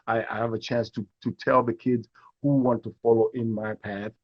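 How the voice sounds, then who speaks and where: A middle-aged man from Kenya tells stories with lively energy as an interview guest. His voice is husky and mid-pitched, and the sound is dull and lo-fi. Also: normal speed. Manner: animated storyteller who acts out quoted voices. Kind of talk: storytelling in a live interview.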